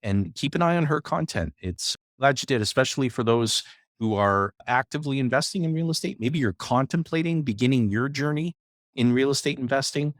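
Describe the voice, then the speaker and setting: A man's voice: medium pitch, animated, fairly quick, no room sound, studio quality. Upbeat male podcast co-host. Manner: upbeat, encouraging, salesy plugs. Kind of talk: casual chat and show promotion.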